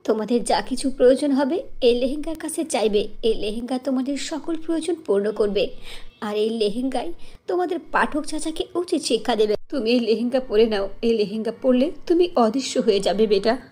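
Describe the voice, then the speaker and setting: Woman as gentle ghostly mother, solemn and soothing. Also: fairly high pitch, a little fast, breathy edge. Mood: solemn, instructing. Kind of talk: cartoon fairy-tale acting.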